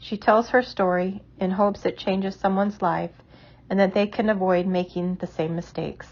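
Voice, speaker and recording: A woman reading a scripted introduction in a measured, earnest way. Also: mid-range voice, steady tone, a little mellow. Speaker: narrator. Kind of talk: scripted narration. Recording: dull lo-fi sound.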